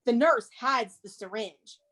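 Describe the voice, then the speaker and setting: A woman's voice: on the high side, unhurried, a bit bright. A woman narrating the stage directions in a dramatic screenplay reading, with casual asides.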